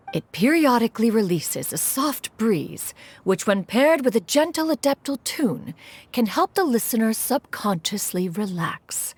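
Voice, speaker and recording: Aloof old immortal inventor, stiff archaic talk, bit husky. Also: female voice, mid-range voice. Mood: proud. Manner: formal, archaic, calls herself "one". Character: ancient female crane adept, aloof inventor.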